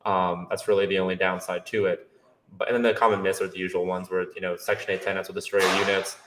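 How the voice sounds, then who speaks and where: A young man from Brazil, a guest on a podcast, answering in a relaxed, matter-of-fact way. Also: deep voice, fast talker, slight background noise. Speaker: guest, real estate investor. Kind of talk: casual interview answers.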